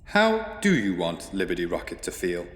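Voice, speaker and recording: A man's voice: low voice, wildly swooping pitch, normal speed, a bit bright, full character voice. A man playing a sentient warship in a science fiction audio drama, formal, literal and detached, in an echoey space with long reverb. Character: sentient warship speaking flatly of itself in third person.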